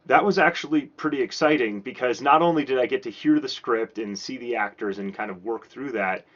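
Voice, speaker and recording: A man's voice: medium pitch, fairly quick, muffled recording. A man speaking as a filmmaker and host, giving a casual production diary in a candid, conversational way. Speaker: filmmaker, writer-director and host.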